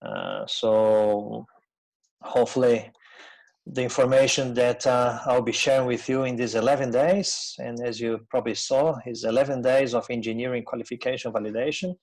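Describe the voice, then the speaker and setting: A middle-aged man from Brazil, a native Portuguese speaker with a Brazilian accent, gives an informal webinar presentation in a hesitant, conversational way with frequent pauses. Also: medium pitch, fairly even intonation, a little mellow. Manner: hesitant, conversational, pausing often.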